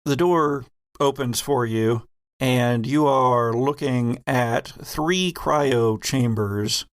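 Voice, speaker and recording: A man runs a tabletop horror game, narrating at a slow pace with deliberate, suspenseful pauses. His voice sits at a middle pitch and is recorded dry and close. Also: smooth, no echo. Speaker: game master.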